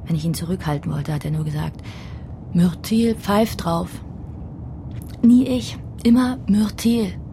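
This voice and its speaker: A woman playing a haunted, grieving gangster's lover in a radio crime drama, her voice creaky. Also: fairly low pitch, a little slow, mellow, a little hiss or hum. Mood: bitter, mournful.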